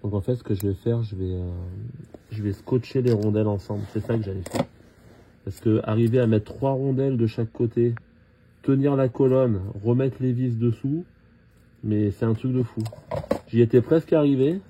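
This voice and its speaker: A man talking casually and haltingly through hands-on work, thinking aloud as he goes. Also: medium pitch, steady tone, dark tone, slight background noise. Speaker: car mechanic hobbyist filming his own repair. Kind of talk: casual hands-on tutorial narration.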